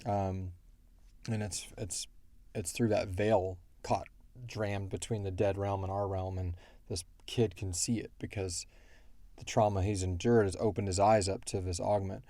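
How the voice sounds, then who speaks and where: A man co-hosting a casual question-and-answer chat, thinking aloud and explaining things in a halting way. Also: low-pitched, fairly even intonation, mellow, dry recording. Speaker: podcast co-host and show creator answering fan questions.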